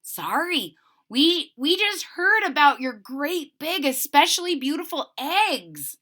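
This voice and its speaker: A hearty, adventurous old grandpa telling his tale, as a woman reads him aloud from a storybook. The voice is bright, lively and swooping, and the telling is unhurried. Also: sits high, voice pushed up, full character voice.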